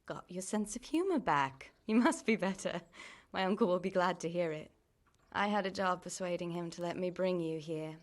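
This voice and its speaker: A woman acting a caring, world-weary young miller's niece in a medieval video game drama, plain-spoken and gently chiding. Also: on the low side, lively intonation, moderate pace, close to an everyday voice. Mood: brisk, wry.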